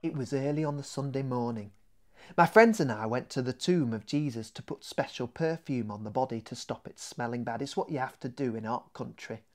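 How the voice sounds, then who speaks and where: A man's voice: medium pitch, a little fast, lightly acted. A man voicing an earnest woman telling of a startling discovery in a children's storybook, in a breathless storytelling manner. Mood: earnest, hushed.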